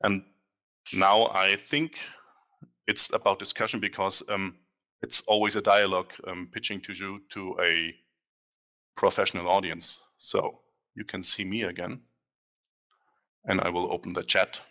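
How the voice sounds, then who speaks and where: Man, thirties, casual software demo, headset mic, forceful tone, lowish voice. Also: flat delivery. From Germany. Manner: conversational, frequent "um" pauses, self-correcting. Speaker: presenter at online meetup. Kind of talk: casual product demo presentation. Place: dim indoor room in front of a large screen.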